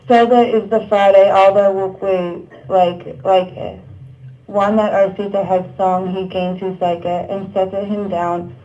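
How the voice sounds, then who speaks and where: A woman narrating a puppet show, reciting old verse in a measured, declamatory manner. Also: medium pitch, flat delivery, warm tone, dull audio.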